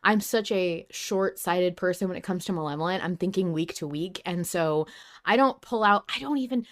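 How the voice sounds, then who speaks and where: A woman's voice: on the low side, normal speed. A woman hosting a podcast, talking casually and earnestly as a fan, open about her feelings. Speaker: podcast host.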